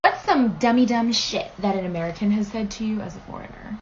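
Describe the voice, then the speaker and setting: A woman host repeating a question prompt to camera in a flat, steady way. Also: medium pitch, a little fast. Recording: dull lo-fi audio with faint noise.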